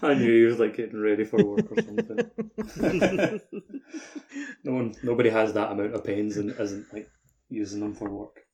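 Male podcast co-host, slightly low voice, agreeable and informal chatter. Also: normal speed, pauses often, darkish tone. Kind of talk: casual chat.

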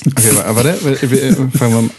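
A man's voice: medium pitch, a little fast, a little mellow. A man narrates an improvised tabletop game as one of its players. He talks in a casual, conversational way, with faint background noise. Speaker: podcast roleplay player.

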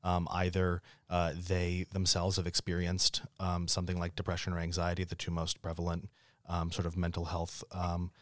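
A man of twenty-two giving considered, halting answers as a podcast guest. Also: low-pitched, monotone, no echo, clean studio sound. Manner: halting, hesitant phrasing with many pauses. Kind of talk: thoughtful casual discussion.

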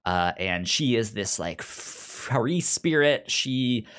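A man's voice: mid-range voice, expressive, slow, fairly bright tone, smooth. A man co-hosting a podcast in casual chat, stuttering heavily on first sounds of words. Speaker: podcast co-host.

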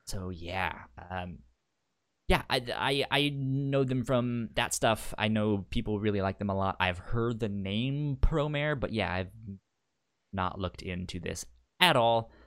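Low-voiced man who stammers often. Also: a little slow, fairly bright tone, clear, resonant tone. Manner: stammers, repeating sounds. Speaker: podcast co-host. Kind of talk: casual chat.

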